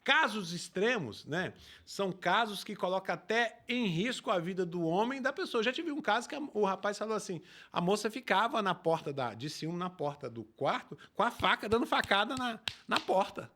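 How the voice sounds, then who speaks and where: A pastor speaking with emphasis, his voice pitched high for a man. His pitch rises and falls widely as he explains scripture to the show's host comes through clearly. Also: lively intonation, normal speed, few pauses, pushed and bright. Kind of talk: preaching in a talk show.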